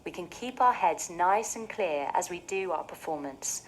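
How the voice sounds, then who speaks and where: A woman hosting a scripted mindfulness session for children, speaking in a soothing, clearly phrased, gently expressive way. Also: on the low side, moderate pace, a little hiss or hum, poor audio. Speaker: host of a children's mindfulness show.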